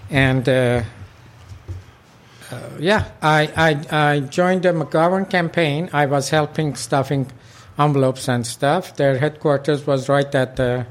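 A man's voice: fairly high pitch, takes it slowly, a little hiss or hum. An older man from Iran, a local club president, recalling his past in an oral history interview with many fillers and restarts.

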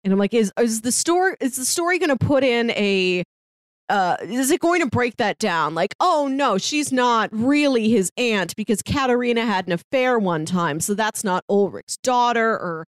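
A woman's voice: fairly high pitch, no echo. A woman co-hosting a podcast, talking casually about a TV show in a musing, questioning way. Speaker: podcast co-host.

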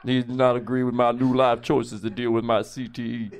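A man's voice: mid-range voice, little pitch movement, a little fast, very noisy, voice pushed down, natural voice. A man putting on a stoned, punch-drunk old boxer who mumbles and grumbles in a slangy, rambling way for a comedy skit. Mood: rambling complaint. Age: older. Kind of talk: improvised comedy podcast skit.

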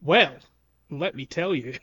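A man hosting a podcast, talking casually through a game walkthrough in a halting, explanatory way. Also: fairly high pitch, bright, forceful tone, slightly rough, muffled recording. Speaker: podcast host.

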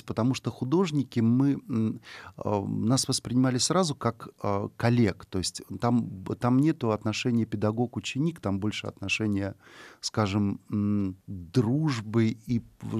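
A middle-aged man giving measured, thoughtful interview answers. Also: lowish voice, darkish tone, no echo. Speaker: guest.

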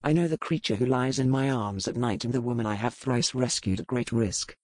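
An actor playing a cold pirate lord in a romance audiobook, speaking in a controlled, sardonic, matter-of-fact way. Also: male voice, medium pitch, brisk, dry recording, studio quality, natural voice. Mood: cool, firm. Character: cold, commanding pirate lord, a man with a buried past. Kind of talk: romance audiobook character dialogue.

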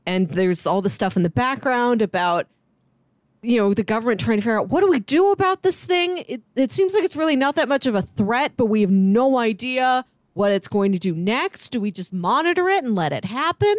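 A woman's voice: fairly high pitch, expressive, a little fast, no echo. A woman co-hosting a casual film podcast, explaining things in an earnest, conversational way. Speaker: podcast co-host.

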